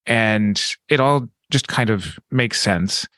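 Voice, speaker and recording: A man's voice: on the low side, slow, fairly bright tone, no echo, clean studio sound. A man hosting a podcast, asking a long question while thinking aloud. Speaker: podcast host. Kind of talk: casual interview question.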